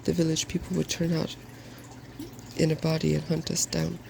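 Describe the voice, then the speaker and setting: A man voicing a cheerful, wise, weary dragon in a soft-spoken storybook reading, polite and measured. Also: on the high side, monotone, creaky, slight background noise, low-quality recording. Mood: cautious.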